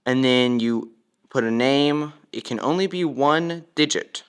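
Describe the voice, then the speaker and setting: A man's voice: mid-range voice, unhurried, clear, resonant tone. A man giving a casual step-by-step product demonstration in a plain, instructional manner. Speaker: product demonstrator, ham radio operator.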